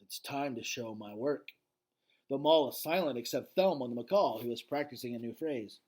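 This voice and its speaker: A man's voice: mid-range voice, normal speed, darkish tone, slightly rough. A man reading a children's book aloud, adding casual asides and checking in with listeners through questions. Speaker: read-aloud reader commenting to listeners.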